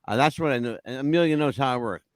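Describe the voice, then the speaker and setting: A man's voice: medium pitch, fast, no echo, clean studio sound. An older man from the Northeastern United States hosting a casual interview show, talking in a teasing, theatrical, joking way. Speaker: talk show host.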